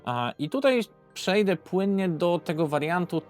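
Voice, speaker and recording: A man's voice: sits high, sing-song, measured pace. A man presenting a board game in an informal, unscripted way, conversational, posing questions and answering them himself. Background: background music.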